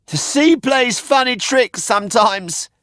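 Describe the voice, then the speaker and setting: High, chirpy puppet boy. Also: expressive, slow pace, a bit bright, no echo, clean studio sound, heavily acted. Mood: cheeky. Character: naive, cheeky young wooden puppet boy.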